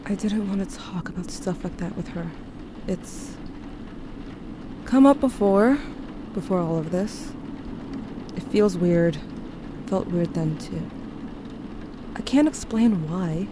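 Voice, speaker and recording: A woman's voice: fairly low pitch, slow pace, pauses often, noisy background. A woman playing a guilt-haunted teenage narrator in an audio drama, confessional, introspective and wry. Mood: uneasy, guarded. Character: guilt-haunted, guarded teenage narrator grieving a dying loved one.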